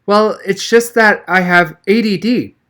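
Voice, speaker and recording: A man's voice: sits high, unhurried, a bit bright, voice pushed up. A man voicing a wisecracking, put-upon schoolboy in an audiobook, with glib excuses and complaints. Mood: sheepish, glib.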